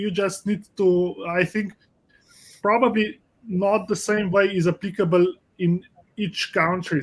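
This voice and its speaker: Halting Slovenian-accented man, flat delivery. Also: high voice, poor audio. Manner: halting, many restarts and fillers. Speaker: pediatric lipid specialist, professor. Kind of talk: panel discussion.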